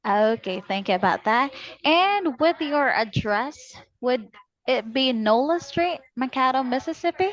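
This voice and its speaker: A woman working as a telemarketing agent, politely delivering a scripted cold-call sales pitch and addressing the customer formally. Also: animated, a little slow, highs cut off.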